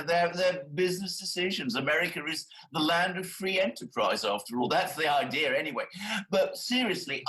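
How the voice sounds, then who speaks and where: A man comments on politics as a panel guest. His voice is bright, sharp and a little high, and he argues emphatically, doubling back on his words. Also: poor audio.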